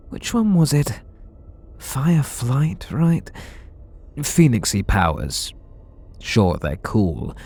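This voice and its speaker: A man's voice: lowish voice, darkish tone, exaggerated voice. A man voicing a bitter, sarcastic supervillain in an ASMR roleplay audio drama, talking in a sardonic, mocking way. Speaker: voice actor.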